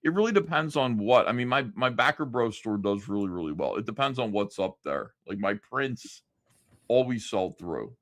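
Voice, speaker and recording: A man hosts a casual livestream and reads out viewer comments between loose, profane asides packed with fillers. His pitch roams widely from line to line. The sound is dry and close, with no room behind it. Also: animated, moderate pace, fairly bright tone, no echo. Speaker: livestream host.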